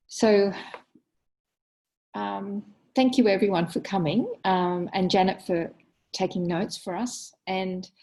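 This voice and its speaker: A middle-aged woman coordinating an online meeting, presenting data with many ums and halting restarts over a video call. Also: medium pitch, darkish tone, rough recording.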